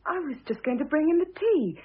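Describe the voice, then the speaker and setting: An actress playing a deferential, tender-hearted, fretful maid in a vintage radio drama. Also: female voice, high-pitched, lively intonation, fairly quick. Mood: hesitant, deferential. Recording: muffled, phone-like old radio recording.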